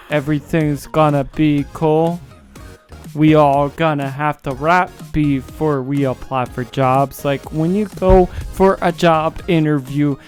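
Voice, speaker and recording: A man's voice: fairly high pitch, a little mellow, natural voice. A man voicing a brash rapper who boasts about running for president in a cocky, crude, swaggering manner. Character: the Driz, brash trash-talking male rapper running for president. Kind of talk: crude comic boasting and battle banter.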